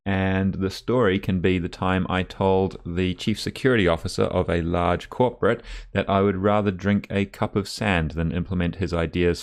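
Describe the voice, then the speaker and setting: A man closing his video with a brisk, dry, wry outro to viewers. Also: deep voice. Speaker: channel host.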